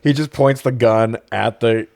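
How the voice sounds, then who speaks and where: A man's voice: mid-range voice, unhurried, vocal fry, a little mellow, no echo, clean studio sound. A man co-hosting a podcast, chatting casually about films in a slangy, profane, joking way. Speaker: podcast co-host reviewing films. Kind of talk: casual banter, film commentary.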